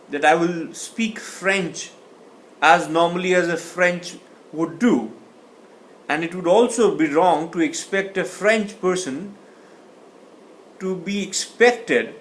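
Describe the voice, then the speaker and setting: A man's voice: sits high, slight background noise. A man teaching a communication class, speaking thoughtfully and often restarting or repeating words. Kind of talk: explanatory lecture.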